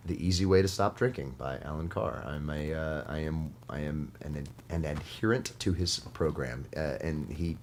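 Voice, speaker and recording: A man talking as a guest in a casual studio conversation, earnest and halting, often restarting his sentences. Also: very deep voice, a little hiss or hum.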